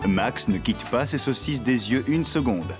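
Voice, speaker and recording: A man's voice: medium pitch, expressive, a little slow, close to an everyday voice. A man acting as the storytelling narrator of a children's farm cartoon. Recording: loud music behind the voice.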